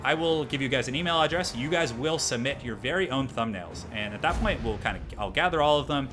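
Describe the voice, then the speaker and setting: A man with a voice hoarse from a cold, casually commentating a replay of a strategy game for viewers. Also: medium pitch, expressive, a little fast, background noise. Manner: casual, chatty, addresses viewers as guys. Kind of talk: gameplay commentary.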